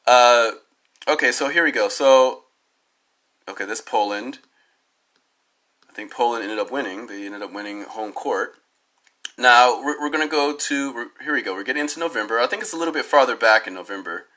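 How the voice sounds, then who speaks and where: A hoarse man talks into a phone he holds up himself, drifting off on tangents and restarting his sentences. His voice has a bright, sharp edge despite the rasp, and his pitch sits in an ordinary middle range. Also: moderate pace, long pauses. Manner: tangential, many fillers and restarts. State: hoarse from a cold. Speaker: DJ giving his side of a dispute. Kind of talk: rambling on-camera commentary while browsing web pages. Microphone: phone held up at arm's length.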